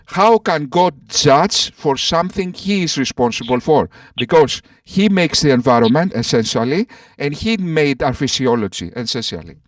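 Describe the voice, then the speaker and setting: A man argues in a voice chat, stumbling over his words and repeating phrases while calling others "sir." His voice sits a bit high and sounds distant from the mic in a dry space. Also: lively intonation, moderate pace, hardly any pauses, dry recording. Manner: repetitive, stammering, addresses others as sir. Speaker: atheist debater. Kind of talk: online voice-chat debate. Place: voice chat call.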